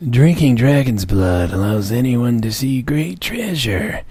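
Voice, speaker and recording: A man voicing a solemn, wise griffin with a slow, portentous, whispery delivery in a mock-dramatic story reading. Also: mid-range voice, expressive, mellow, no echo. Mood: solemn, ominous. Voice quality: breathy, whispery. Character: solemn, wise griffin creature telling dark lore.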